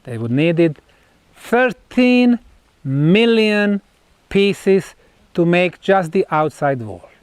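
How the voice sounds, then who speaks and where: High-pitched Bosnian-accented man presenting. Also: animated, very slow, smooth, dry recording. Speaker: researcher presenting measurements on site. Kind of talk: explanatory on-site presentation.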